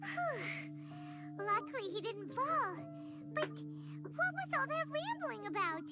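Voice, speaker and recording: A shrill, bouncy little floating sidekick in a game, chatty, over loud music. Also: female voice, takes it slowly, exaggerated voice. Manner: chatty, exclamatory, expressive. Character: tiny floating girl companion, chatty and excitable. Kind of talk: game voice acting.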